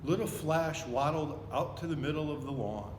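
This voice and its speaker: A man reading a children's book aloud in an expressive storytelling style. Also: a little high, normal speed. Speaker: volunteer story reader. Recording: echoey, reverberant room with noticeable noise.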